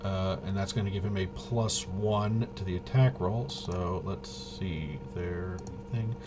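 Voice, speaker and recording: A man thinking aloud through solo tabletop game commentary, casual and halting, often muttering to himself as he works things out. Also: sits low, over loud music. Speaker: tabletop RPG player and presenter.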